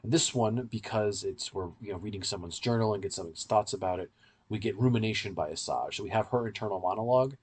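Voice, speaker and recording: Low, slightly husky man explaining at length. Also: fast talker. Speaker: podcast co-host and reviewer. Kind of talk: casual analytical podcast discussion.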